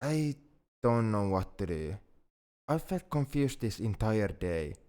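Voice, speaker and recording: Man acting as a young male alien, shy, flustered, halting, earnest, lowish smooth voice, ASMR roleplay. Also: big pitch swings, mellow. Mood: anxious, apologetic. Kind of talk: ASMR roleplay audio drama.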